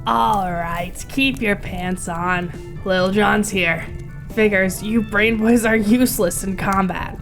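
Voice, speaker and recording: A woman's voice: mid-range voice, steady tone, slow pace, faint music behind. A woman playing a tough, sarcastic mercenary adventurer with a brash, wisecracking manner in a motion comic.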